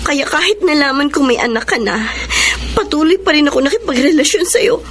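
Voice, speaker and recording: A woman's voice: high-pitched, animated, brisk, fairly bright tone, slight background noise. An actress playing a heartbroken, betrayed young woman in an emotional, melodramatic radio drama style. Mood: tearful. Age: young adult. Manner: emotional, pleading and reproachful. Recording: drama with music behind the voice.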